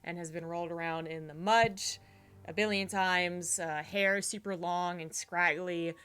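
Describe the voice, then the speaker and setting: A woman's voice: on the low side, fairly even intonation, measured pace, a bit bright, smooth, soft background music. A woman narrating a tabletop horror game as game master, rambling with fillers and restarts.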